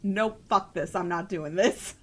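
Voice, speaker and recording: A woman's voice: fairly low pitch, measured pace, a bit bright, a little hiss or hum. A woman livestreamer talking casually and playfully, often swearing, as she hosts a game stream. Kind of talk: casual livestream chat with some game text read aloud.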